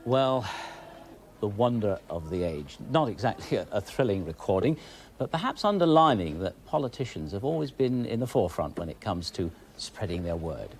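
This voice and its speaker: A British man presenting a history programme in a measured, explanatory and slightly wry way. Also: fairly low pitch, sing-song, a little mellow, slight background noise. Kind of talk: television history programme narration.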